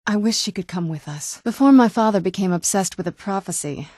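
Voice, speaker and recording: A woman's voice: medium pitch, animated, a little fast, darkish tone, no echo, natural voice. An actress playing a young-looking, guarded female vampire in a video game, speaking in a dry, earnest, conversational way. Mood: wistful. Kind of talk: video game character dialogue.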